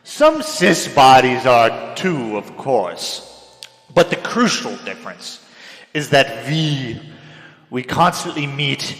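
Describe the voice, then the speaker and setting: A young man delivers a theatrical dramatic reading of a written piece in a melodramatic manner, swinging between hushed and loud. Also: mid-range voice. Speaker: dramatic reader. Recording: echoey hall with long reverb, music behind. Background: music.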